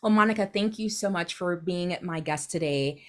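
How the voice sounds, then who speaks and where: A woman's voice: on the low side, moderate pace, a crowd in the background. A woman hosting her own podcast as a coach, encouraging and upbeat, closing the show with a practiced sign-off.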